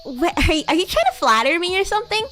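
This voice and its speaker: A woman voicing a bratty, flustered demon schoolgirl who is secretly fond, teasing and halting, in an anime-like livestream roleplay. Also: very high-pitched, very animated, sharp tone, soft background music, exaggerated voice. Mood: flustered, haughty. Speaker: VTuber streamer.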